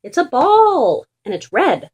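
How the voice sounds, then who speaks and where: A woman voicing an eager, playful male pet dog in a children's storybook, quick to get exasperated. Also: sits high, wildly swooping pitch, darkish tone, voice pushed up, exaggerated voice. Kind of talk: animated children's storybook reading.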